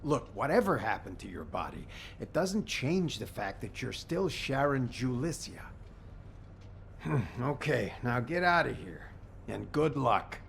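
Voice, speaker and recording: An actor playing a gruff but caring male mechanic and gunsmith in a video game, grumbling in a dry, familiar way. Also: medium pitch, sing-song, unhurried, a bit bright, a little hiss or hum, heavily acted. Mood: gruff fondness. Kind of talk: game cutscene dialogue.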